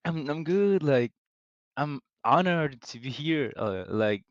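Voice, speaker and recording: A man's voice: on the high side, lively intonation, slow pace, lo-fi sound. A man from Argentina with a Spanish accent, speaking English nervously and politely, stammering a little. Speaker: coaching student. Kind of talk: nervous casual chat.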